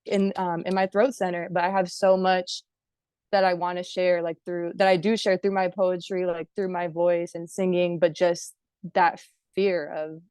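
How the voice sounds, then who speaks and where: A woman's voice: fairly low pitch, little pitch movement, moderate pace, a little mellow, smooth, dry recording. A woman from Hawaii chatting casually on a video call, using lots of filler words and laughing now and then. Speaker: course participant.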